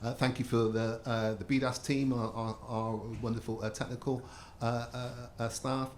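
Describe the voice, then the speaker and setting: A male host wraps up a public evening with thanks to the audience. His delivery is halting, full of fillers and repeated words, and his voice sits at an ordinary pitch. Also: level tone, darkish tone, slight background noise. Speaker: event host. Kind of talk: closing remarks and thanks at a public event.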